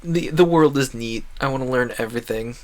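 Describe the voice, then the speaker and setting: A man's voice: mid-range voice, a little fast, darkish tone. A man livestreaming a video game, chatting casually and musing at length in a talkative way. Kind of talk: casual rambling livestream chat over gameplay.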